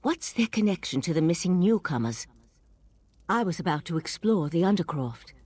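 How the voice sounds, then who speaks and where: A woman playing a shrewd, secretive investigator in a video game, calm and curious, with a measured, slightly wry manner. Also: lowish voice, slightly rough. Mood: curious, conspiratorial. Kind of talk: video game character dialogue. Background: music.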